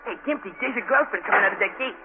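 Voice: mid-range voice, rapid-fire, big-room sound, phone-quality sound, close to an everyday voice. A performer playing a cheeky, nosy young slum boy with a brash, slangy way of talking, in 1940s radio drama style. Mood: awed, cheeky. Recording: thin, noisy 1940s radio recording.

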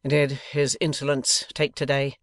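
A man's voice: medium pitch, completely flat delivery, measured pace, a bit bright, dry recording, clean studio sound, natural voice. A man voicing a tense schoolmaster in a novel, intense and impatient, with rage held barely in check. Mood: strained, bitter. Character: tense, haggard schoolmaster seething with repressed rage. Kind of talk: dramatised novel reading.

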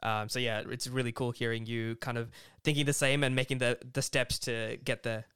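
Podcast host guy, really clean smooth voice, dry close mic, easygoing. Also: medium pitch, level tone, moderate pace, fairly bright tone, no echo. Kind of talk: casual interview chat.

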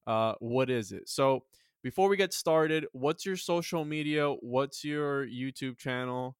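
A man in his twenties hosting a podcast, talking earnestly and philosophically with many halting restarts. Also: medium pitch, clear, resonant tone, no echo, clean studio sound. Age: 20s. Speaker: podcast host. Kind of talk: casual podcast chat.

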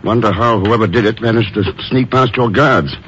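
An actor playing a cool, shrewd secret agent in a 1950s radio drama, with clipped, dry delivery. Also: male voice, lowish voice, moderate pace, lightly acted. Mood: cool, probing. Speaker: lead actor. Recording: muffled, noisy old radio broadcast.

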